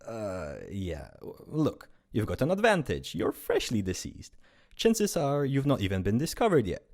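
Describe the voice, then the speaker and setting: A man's voice: mid-range voice, huge pitch swings, a little slow, a little mellow. A man playing a breezy, condescending afterlife closure officer in an amateur radio drama. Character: fussy, glib male afterlife closure officer.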